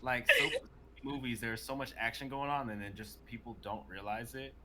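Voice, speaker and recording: A man's voice: medium pitch, normal speed, crowd noise. A young man chatting casually in a group, informal and slangy. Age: young adult. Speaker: livestream panelist. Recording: noisy livestream mic with clicks.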